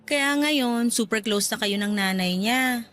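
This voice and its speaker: A woman's voice: fairly high pitch, measured pace, fairly bright tone. A woman playing a grumbling, jealous wife in her thirties, with a Filipino accent, in radio drama style. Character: thirty-five-year-old wife, grumbling, jealous of husband's friend.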